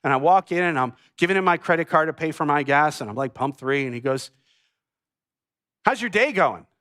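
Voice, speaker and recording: A middle-aged man from New England preaching a sermon, telling stories and acting out bits of dialogue with lively energy. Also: on the high side, a little fast, no room sound, studio quality. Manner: animated storytelling with acted-out dialogue. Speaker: pastor. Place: church stage.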